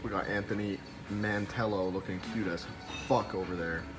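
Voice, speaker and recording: A young man talking to a handheld camera in a hotel room, excitable and swearing freely in a casual vlog. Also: deep voice, normal speed, over loud music. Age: young adult. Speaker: vlogger.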